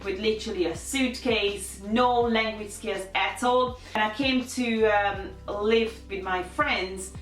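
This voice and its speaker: A woman's voice: medium pitch, slow pace, hardly any pauses. A woman in her thirties from Hungary with a Hungarian accent, candidly telling her life story as a vlogger with many fillers and restarts. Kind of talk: personal life story to camera. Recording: roomy sound, mic at a distance, faint music.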